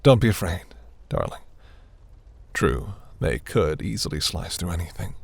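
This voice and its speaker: A seductive demon prince, performed by a man, speaks in a very low, slow voice roughened by gravel. He is imperious and grandiose, yet flirts with velvety, breathy teasing in the manner of a fantasy romance roleplay. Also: sing-song, a little mellow, no room sound, full character voice.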